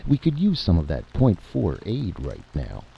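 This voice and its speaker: A man voicing a steady, hopeful adventurer who reasons persuasively in a story reading. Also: sits low, a little slow, creaky, slightly rough, dull audio, full character voice. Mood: firm.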